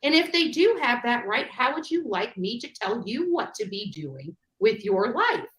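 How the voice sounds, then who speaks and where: A woman's voice: on the high side, sing-song, normal speed, fairly bright tone, echoey room, low-quality recording. A woman ranting defiantly in a clip from her own video. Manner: defiant, indignant. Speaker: reviewed content creator.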